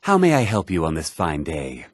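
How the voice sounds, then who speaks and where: An actor playing a vain, pompous former ship's captain and fletcher with flowery speech in a video game. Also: male voice, a bass voice, huge pitch swings, normal speed, dry recording, studio quality, heavily acted. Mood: smug, genteel. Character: vain, silver-tongued male ex-captain and fletcher. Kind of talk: video game character lines.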